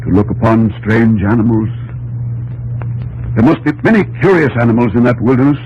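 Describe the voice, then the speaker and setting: An actor playing a dreamy, thoughtful American president in an old radio drama, musing and formal. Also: male voice, medium pitch, animated, brisk, a little mellow, lightly acted. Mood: dreamy, wondering. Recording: muffled old radio recording, faint noise.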